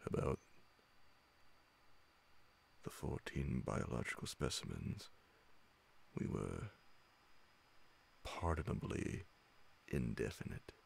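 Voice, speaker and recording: A middle-aged man with an extremely low voice full of heavy vocal fry reads a story in ASMR audiobook style. He goes slowly, with frequent pauses and a hushed delivery into a studio mic. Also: sing-song. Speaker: narrator reading classic horror stories. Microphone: large studio condenser microphone close beside him, headphones on.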